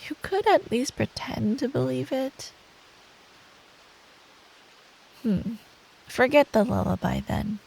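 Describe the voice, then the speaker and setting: A woman playing a sweet, caring, teasing girlfriend in an intimate ASMR roleplay, talking softly and breathily. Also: fairly high pitch, big pitch swings, full character voice, rough recording. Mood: mock offended. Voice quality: soft, breathy. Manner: slow, affectionate, playfully teasing. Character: sweet, teasing, caring girlfriend telling an improvised bedtime story.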